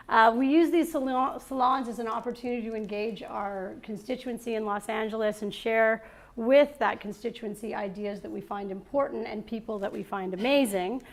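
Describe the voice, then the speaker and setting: A woman hosting a salon event, talking in a fairly high voice. Her speech is chatty and halting, full of fillers and restarts. She introduces a guest in front of a live audience. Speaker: salon host and moderator. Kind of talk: live onstage introduction and interview.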